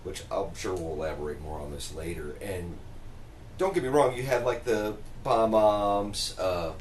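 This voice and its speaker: A man's voice: lowish voice, moderate pace. A man co-hosting a podcast in casual conversation, giving his take on the topic. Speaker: podcast co-host. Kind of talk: casual chat. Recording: echoey room with background noise.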